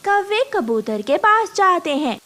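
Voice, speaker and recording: A woman narrating a children's story in an expressive, scripted storytelling style. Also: extremely high pitch, measured pace.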